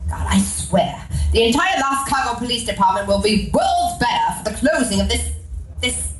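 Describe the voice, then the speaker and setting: A woman's voice: a little high, sing-song, normal speed, slight background noise. A woman playing a bossy, exasperated, smug policewoman in a live comedy radio drama, haughty and scolding. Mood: weary. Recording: echoey stage, distant mic, music behind.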